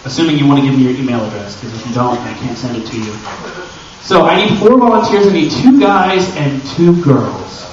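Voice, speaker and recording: A man's voice: mid-range voice, lively intonation, brisk. A distant, muffled recording in a noisy, reverberant room carries a man presenting at a convention panel. He talks informally and directly to the crowd in a chatty, joking way, like a host running the session. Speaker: panel presenter and audio drama creator. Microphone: distant camera microphone.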